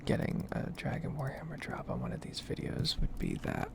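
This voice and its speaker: A woman softly whispering a casual, rambling ASMR commentary over a game. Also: fairly quick, heavy background noise. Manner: soft-spoken, rambling, casual asides. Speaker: gaming video creator.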